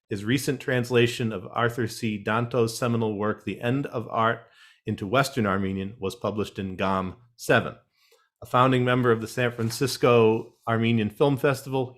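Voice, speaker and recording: A man moderating an online panel, reading guest introductions in a measured way with wry asides over a video call. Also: lowish voice.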